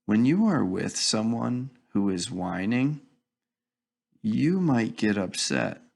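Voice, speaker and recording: A man reading a children's picture book aloud in a deliberate, instructive way, as if to young children. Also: fairly low pitch, big pitch swings, vocal fry, darkish tone. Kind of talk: read-aloud storybook narration.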